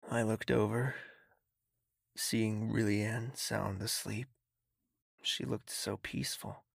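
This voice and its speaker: A man playing a wealthy, smitten, possessive young nobleman in an intimate audio drama, speaking in a husky, teasing, courtly way. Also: lowish voice, fairly bright tone, no room sound, studio quality, heavily acted. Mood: weary, enamoured. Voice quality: husky, breathy, creaky.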